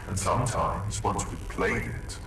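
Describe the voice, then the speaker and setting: An actor playing a menacing masked hacker villain in a game, speaking gravely and theatrically. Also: male voice, deep voice, unhurried, noisy background. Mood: cold menace. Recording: echoing distant voice under loud music.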